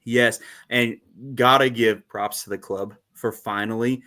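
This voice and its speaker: Man, podcast co-host, bright voice, animated, laughs a lot, casual chat. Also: mid-range voice, unhurried. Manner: animated, laughs often, many "like" fillers.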